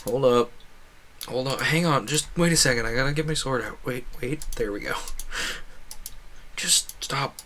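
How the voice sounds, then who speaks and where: A man's voice: mid-range voice, bright, forceful tone, a little hiss or hum. A man chatting casually over gameplay as a livestreamer, often breaking off and rephrasing as he rambles. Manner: halting, self-correcting, trails off and rephrases. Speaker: livestreamer and video creator.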